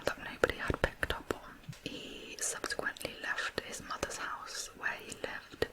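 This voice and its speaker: Woman whispering true crime asmr, super slow n soft, all breath no voice. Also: low-quality recording.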